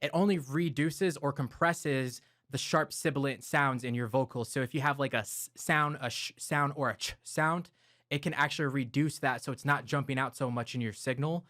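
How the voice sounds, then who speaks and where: A man of medium pitch walks through studio gear in a relaxed, step-by-step way, with the odd filler word. He talks close into a broadcast mic in a home studio, and the sound is dry with no room echo. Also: moderate pace. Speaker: audio gear reviewer. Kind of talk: explanatory gear walkthrough. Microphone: close broadcast dynamic mic.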